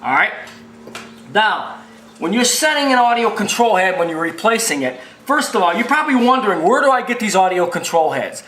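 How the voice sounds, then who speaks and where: A man's voice: high-pitched, very animated, fairly quick, pushed and bright. A man giving an informal repair tutorial as a technician, rambling and repeating himself in a folksy, emphatic way. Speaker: video machine repair technician and presenter. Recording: roomy, echoing sound.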